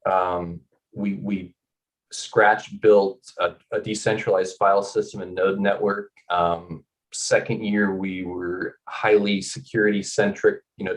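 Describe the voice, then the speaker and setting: Low-voiced founder from California, halting. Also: male voice, low-quality recording. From Northern California. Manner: halting, repeats words. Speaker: founder and core developer. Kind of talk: casual presentation.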